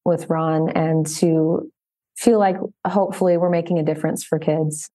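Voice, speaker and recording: A woman's voice: low-pitched, fairly even intonation, moderate pace, mellow. A woman chatting as an interview guest, slightly hesitant and giggly in manner. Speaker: podcast guest, children's book co-author. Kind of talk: casual interview chat.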